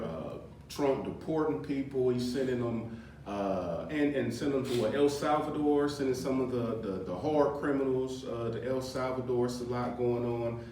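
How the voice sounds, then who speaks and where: A man's voice: mid-range voice, warm tone, slight background noise. Man, podcast host, casual chat, loose delivery, lots of fillers and restarts, very echoey room, far from the mic.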